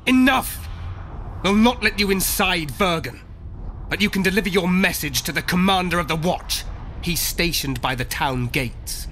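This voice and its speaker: A man's voice: sits high, moderate pace, a bit bright. An actor playing a hostile, menacing, sarcastic male elf guerrilla in a video game. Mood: commanding. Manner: mocking, sarcastic, commanding. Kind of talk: video game character acting. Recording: faint outdoor ambience noise.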